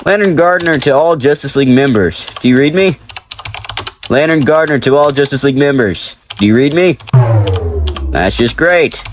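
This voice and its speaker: An actor playing a brash, sarcastic male superhero in an audio drama, grumbling in a colloquial, gruff manner. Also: mid-range voice, animated, normal speed, a little hiss or hum, natural voice. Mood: puzzled, irritated.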